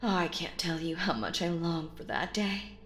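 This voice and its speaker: A woman playing a possessive, sinister stage magician in a roleplay audio drama, coaxing theatrically and slipping into breathy whispers. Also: fairly low pitch, moderate pace, a bit bright, echoey room, faint music behind, natural voice. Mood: sweetly menacing. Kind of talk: roleplay audio drama, intimate hypnotic monologue.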